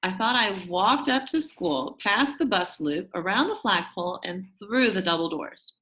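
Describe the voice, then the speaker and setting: A shy but upbeat, quirky school kid tells their own storybook tale , read aloud by a woman. The delivery swoops widely in pitch at a medium register, chummy and expressive, with dramatic emphasis on key words. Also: moderate pace, natural voice, rough recording. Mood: chatty, earnest. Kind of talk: first-person children's book narration.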